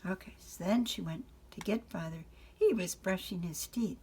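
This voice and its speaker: An older woman, a grandmother, reading a children's picture book aloud in an unhurried way, pausing to address the children. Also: lowish voice, mellow, slight background noise.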